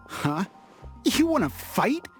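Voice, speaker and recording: An actress playing an indignant, distrustful townsperson in a video game, blunt and scolding. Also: female voice, medium pitch, big pitch swings, very slow, soft background music. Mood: angry, defiant.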